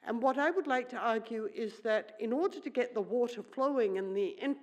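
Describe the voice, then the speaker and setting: A woman's voice: on the high side, a little fast, darkish tone. A middle-aged woman who grew up in New Zealand and whose first language is Swedish, giving a conference keynote in a wry, conversational manner and thinking aloud with many fillers. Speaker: keynote speaker.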